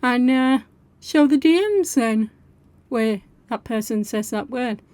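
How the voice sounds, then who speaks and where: A woman's voice: a little high, takes it slowly, darkish tone, no echo. A woman hosting reaction commentary, talking in a sarcastic, scolding way. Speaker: commentary host.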